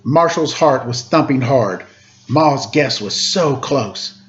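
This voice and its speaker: A man's voice: medium pitch, animated, slow pace, dull audio. A man reading a children's picture book aloud as a storyteller. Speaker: storyteller, teacher.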